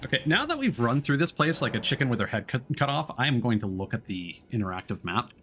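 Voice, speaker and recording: Animated man streaming a video game. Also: medium pitch, quick pace, a little hiss or hum. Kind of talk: casual live gameplay commentary. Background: music behind the voice.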